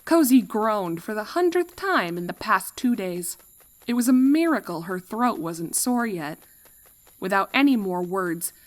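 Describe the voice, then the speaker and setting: A woman's voice: mid-range voice, low-quality recording. A woman reading a fan fiction story as a scripted narration.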